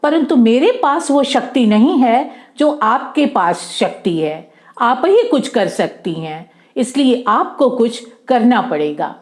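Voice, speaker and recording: A woman as an earnest, slow-spoken snake charmer. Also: a little high, sing-song. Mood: pleading. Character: male snake charmer, earnest, pleading village rescuer.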